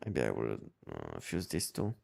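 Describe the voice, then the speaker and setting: A man chatting casually on a game livestream, explaining things in a halting, repetitive way. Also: extremely low pitch, huge pitch swings, creaky, darkish tone. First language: not English. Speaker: game live streamer.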